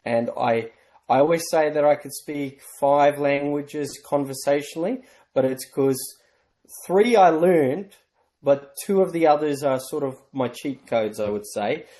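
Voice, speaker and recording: Mellow-voiced man. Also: a little high. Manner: measured, storytelling, light self-deprecating humor. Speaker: interview guest. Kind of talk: conversational interview.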